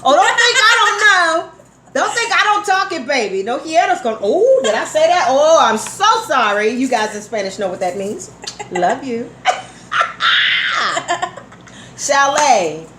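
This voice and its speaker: A woman's voice: high voice, sing-song, measured pace. A woman hosting a radio show in casual, effusive chat, speaking familiarly to listeners and repeating phrases for emphasis. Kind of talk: casual live interview chat. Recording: echoey room with background noise.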